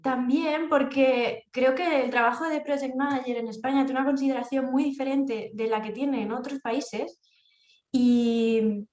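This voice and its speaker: A woman's voice: high voice, steady tone, a little slow, darkish tone, echoey room, highs cut off. A woman with an accent from Spain, giving conversational answers as an interview guest. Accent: Spanish from Spain. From Castilla-La Mancha, Spain. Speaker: interview guest, freelance project manager. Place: indoors at home, echoey room.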